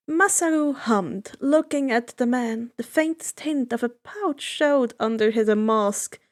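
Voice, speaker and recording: A woman reading fan fiction aloud as expressive scripted narration. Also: sits high.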